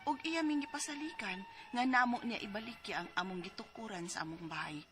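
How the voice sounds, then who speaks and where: A woman's voice: a little high, fairly quick, a bit bright, close to an everyday voice. An actress playing a hurt, pleading middle-aged niece in a Cebuano radio drama, telling her troubles earnestly. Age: 40s. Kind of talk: radio drama acting and letter narration. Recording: music behind the voice.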